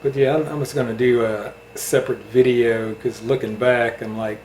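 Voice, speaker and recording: Middle-aged man, American accent, casual interviewer, medium pitch, roomy echo, faint noise. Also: steady tone, normal speed, a little mellow. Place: indoor room at a home.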